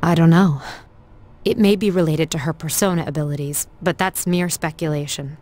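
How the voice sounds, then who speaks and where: Actress as composed young female student leader, game drama; lowish voice, formal, serious delivery. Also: fairly even intonation, moderate pace, darkish tone, a little hiss or hum, natural voice. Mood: grave, formal. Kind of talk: scripted game voice acting.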